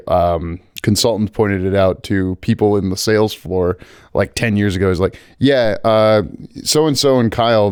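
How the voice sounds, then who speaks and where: Smooth-voiced low male podcast host. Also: darkish tone, no echo, clean studio sound. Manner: joking, quick with teasing asides. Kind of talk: casual interview chat.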